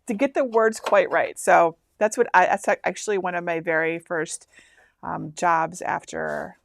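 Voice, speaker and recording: A woman's voice: on the low side, fairly even intonation, normal speed, vocal fry. A chatty woman in her fifties from Michigan, hosting a casual live stream and rambling as she reads instructions aloud. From Michigan, USA. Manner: chatty, rambling, laughs often. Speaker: host.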